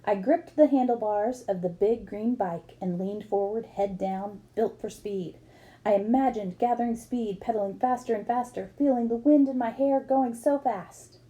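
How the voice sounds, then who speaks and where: A woman's voice: on the high side, moderate pace, warm tone, muffled recording. A woman reading a book aloud expressively, as for children, acting up the dialogue. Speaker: book reader.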